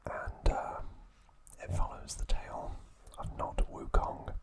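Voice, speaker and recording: A young man hosting ASMR in a breathy, unvoiced whisper, halting and hushed, close to the mic. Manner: slow, hushed, halting with long pauses. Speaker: host of an ASMR channel. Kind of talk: ASMR, whispered informal trivia talk.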